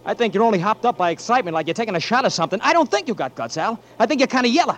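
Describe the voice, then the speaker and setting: A man's voice: high-pitched, very animated, very fast, a bit bright. An actor playing a loyal, blunt marine buddy who confronts his friend, in 1940s radio acting. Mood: confronting.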